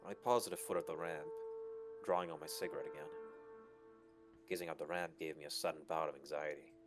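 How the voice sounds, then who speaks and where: A man's voice: very deep voice, steady tone, brisk. A man reading first-person narration from a visual novel as a storyteller. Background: background music.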